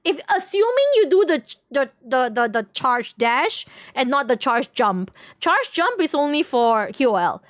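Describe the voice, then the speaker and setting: A woman's voice: high-pitched, sing-song, unhurried, few pauses, fairly bright tone, no room sound, clean studio sound. A woman livestreamer chatting casually with viewers in a rambling, slangy way, often repeating her phrases. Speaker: game livestreamer. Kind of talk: casual stream chat with viewers.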